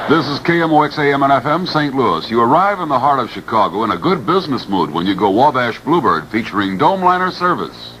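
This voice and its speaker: A man's voice: medium pitch, sing-song, quick pace, few pauses, fairly bright tone. An American man reading a scripted station announcement and advertisement on 1960s radio. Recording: slightly noisy 1960s radio recording.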